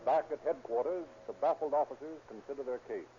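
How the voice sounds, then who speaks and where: An actor as the dramatic, declamatory narrator of a 1930s radio police drama. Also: male voice, medium pitch, fairly quick, music in the background, natural voice. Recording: muffled 1930s radio broadcast.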